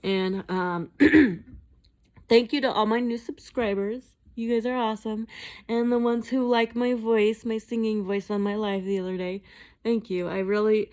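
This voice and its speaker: A woman's voice: a little high, steady tone, measured pace, darkish tone. A woman vlogging at home in a casual, rambling chat, swearing freely and mocking herself. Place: indoors at home.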